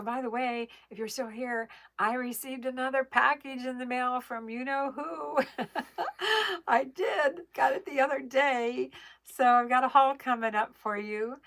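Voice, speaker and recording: A woman's voice: a little high, fairly bright tone. A bubbly woman hosting a casual livestream from her family room at home, chatting and thanking viewers, recorded on a phone. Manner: chatty, bubbly, laughs easily. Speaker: livestream host reading viewer comments. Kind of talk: casual live chat.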